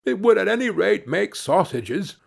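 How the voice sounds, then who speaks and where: A man's voice: sits high, sing-song, moderate pace, creaky, pitched above the performer's own voice, heavily acted. A man voicing a naive, easily pleased young peasant in a storybook, with an earnest, exclamatory manner. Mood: dismayed.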